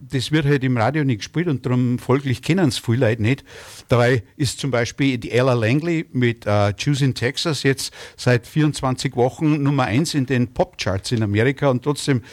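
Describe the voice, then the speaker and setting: A male musician in his sixties being interviewed, with a clear Austro-Bavarian accent. His voice sits at a medium pitch, and he talks informally, with some hesitation and a touch of dialect. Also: normal speed. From Germany or Austria. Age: 60s. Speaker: country musician, interview guest. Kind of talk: casual interview talk.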